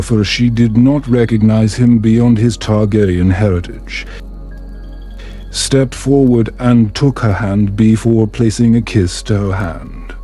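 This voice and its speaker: A man narrating a fantasy bedtime story in a calm, soothing, measured way. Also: medium pitch. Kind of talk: calm scripted fantasy audiobook narration for sleep.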